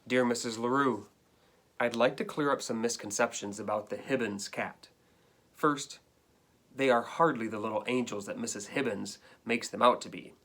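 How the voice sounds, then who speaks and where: A man's voice: mid-range voice, moderate pace, fairly bright tone, natural voice. A man reading a storybook as an indignant, put-upon pet dog, complaining in a dramatic, emphatic way. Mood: defensive. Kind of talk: storybook read-aloud.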